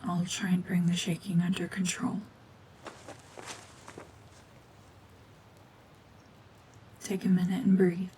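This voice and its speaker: A woman acting a cold, domineering mutant witch in an ASMR roleplay drama, hushed and often whispering, with terse, guarded commands. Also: lowish voice, completely flat delivery, a little fast, slight background noise, natural voice. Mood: remorseful, tender. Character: renegade mutant cyborg gorgon witch, female, cold, domineering, slowly softening.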